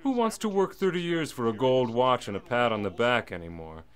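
An actor playing a menacing robber's sidekick in a radio drama, with a dry, sardonic, threatening manner. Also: male voice, mid-range voice, lively intonation, fairly quick, close to an everyday voice.

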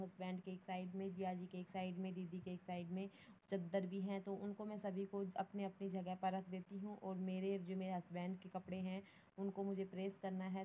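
Young woman vlogger, mellow and even voice-over, plain and matter-of-fact, slight background noise. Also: medium pitch, poor audio. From Haryana, India. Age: young adult. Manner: plain, matter-of-fact, mixing in English words. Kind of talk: casual voice-over narration of daily routine.

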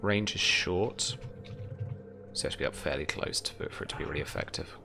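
A man's voice: very deep voice, brisk, vocal fry, noisy background. A young man speaking as game master, running a tabletop roleplaying game over music. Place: indoor room at home, video call. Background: background music.

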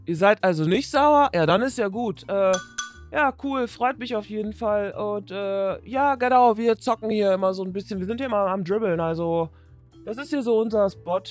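A woman playing a teenage boy, mid-pitched, friendly and a bit awkward. He talks in hesitant, casual slang in a homemade toy story, over background music. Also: moderate pace, natural voice. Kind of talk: improvised doll-play storytelling with character voices.

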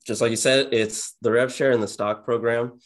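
A man in his twenties chatting casually as an interview guest on a video call, in a low-key, monotone manner. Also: normal speed. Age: 20s. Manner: low-key, introverted, self-described monotone. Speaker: interview guest, real estate agent and YouTuber. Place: indoor home office, on a video call.

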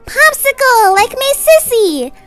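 A woman's voice: extremely high pitch, sing-song, slow pace, fairly bright tone, soft background music, pitched above the performer's own voice, heavily acted. A woman voicing a sweet, eager toddler boy with a polite, chirpy manner in a roleplay story. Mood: delighted.

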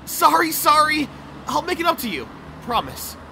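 Man playing a cheeky young professor, very animated. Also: very high voice, normal speed, creaky, a little hiss or hum, exaggerated voice. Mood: playful, bantering. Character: cheeky, good-natured young male high school professor. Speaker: voice actor. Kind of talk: audio drama acting with first-person narration.

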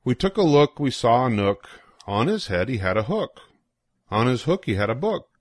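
An older man reading a children's picture book aloud in an expressive, sing-song way with deliberate pauses. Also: mid-range voice, dry recording, clean studio sound. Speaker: storybook reader.

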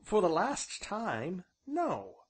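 A man playing a calm, stubborn, well-spoken young gentleman with a formal, firm manner. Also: sits high, drawn-out words, husky, pitched above the performer's own voice, exaggerated voice. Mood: defiant.

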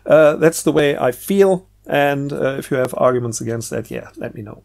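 A man's voice: mid-range voice, animated, moderate pace, vocal fry, a little mellow. A man hosting a casual, unscripted book review, talking in a hesitant, rambling way with repeated words. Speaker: book review video host.

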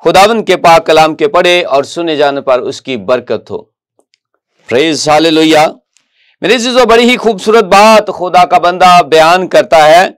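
High-voiced Pakistani pastor preaching earnestly. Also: male voice, animated, unhurried. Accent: Pakistani Urdu. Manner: earnest preaching with rhetorical questions, repeated "my dear ones". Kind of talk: sermon. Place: TV news studio, indoors. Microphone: lapel mic.

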